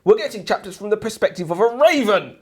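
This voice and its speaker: Animated man, slangy and emphatic. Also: high-pitched, fast talker, slightly rough. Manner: slangy, emphatic, repeats phrases. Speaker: book review podcast co-host. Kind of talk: casual banter and book discussion.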